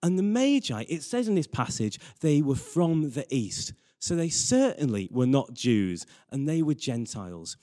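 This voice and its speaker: A man's voice: on the high side, sing-song, a little slow, few pauses, dark tone. A man preaching a sermon with personal anecdotes, earnest and repeating phrases for emphasis. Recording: reverberant hall.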